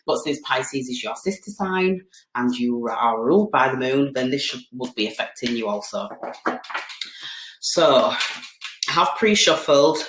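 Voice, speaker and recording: A woman's voice: deep voice, slight background noise. A British woman with a bit of a cold gives a casual tarot reading, chatty and full of "okay?" and "um". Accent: British English. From United Kingdom. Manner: chatty, repeats "okay?" and "um" often. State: has a cold, sniffly. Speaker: tarot card reader. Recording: echoey room.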